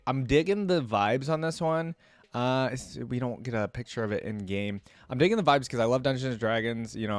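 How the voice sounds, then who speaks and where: A man's voice: fairly low pitch, no echo. A man giving casual reaction commentary, thinking aloud in informal, slangy language. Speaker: reaction video creator.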